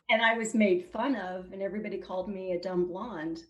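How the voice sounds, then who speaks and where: A woman of about fifty talking as a guest, open and animated, quick to laugh. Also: medium pitch. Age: 50s. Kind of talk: casual conversation.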